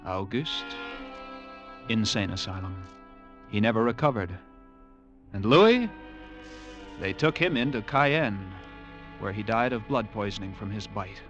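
An actor in an old radio drama playing a nervous, wry lighthouse keeper telling a grim tale with uneasy pauses. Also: male voice, lowish voice, lively intonation, highs cut off. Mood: grim, brooding. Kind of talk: 1940s-50s radio drama acting. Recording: vintage radio broadcast with orchestral music.

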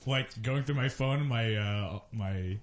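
A man's voice: on the low side, slow, a little hiss or hum. A man hosting a casual comic chat, joking as he talks.

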